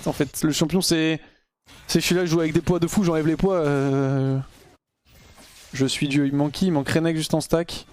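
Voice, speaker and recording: A man's voice: fairly high pitch, little pitch movement, normal speed, a little mellow. A young man casually commenting live on his own gameplay as a streamer, thinking aloud in slangy talk sprinkled with English gamer terms, into a gaming headset.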